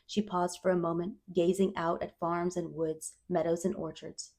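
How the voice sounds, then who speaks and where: A woman reading a children's storybook aloud as scripted narration, expressive and clearly phrased. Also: lowish voice, moderate pace. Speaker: storyteller, reader.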